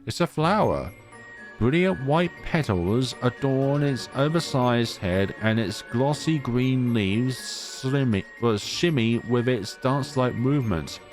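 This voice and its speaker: A man's voice: mid-range voice, expressive, takes it slowly. A man hosting a gaming video, reading a visual novel aloud and adding his own asides. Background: music.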